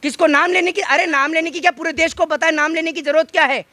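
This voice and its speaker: A man's voice: very high-pitched, steady tone, fast talker, pushed and bright, no echo. A man arguing politics in a heated impromptu debate, insistent and repeating phrases for emphasis. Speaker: interviewee arguing political views.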